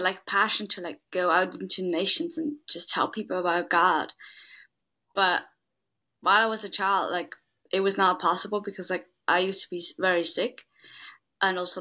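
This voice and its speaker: Bright-voiced young woman. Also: mid-range voice. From Switzerland. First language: Swiss German. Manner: earnest, says "like" a lot. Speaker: guest, works at a refugee school. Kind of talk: casual interview talk.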